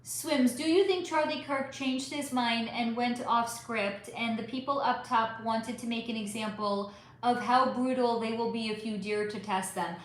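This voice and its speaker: A woman hosting a casual live stream, answering chat questions in an emphatic, rambling way with swearing, her voice a bit hoarse. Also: fairly high pitch, a little slow, a little hiss or hum. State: voice going hoarse. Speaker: psychic channel host reading live chat. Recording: heavily echoey room.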